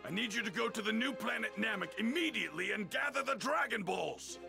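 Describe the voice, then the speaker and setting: An actor playing a proud, impatient alien warrior in an anime video game, barking orders scornfully in a gravelly, strained voice. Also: male voice, high-pitched, lively intonation, a little fast, exaggerated voice. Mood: urgent, commanding. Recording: music under the voice.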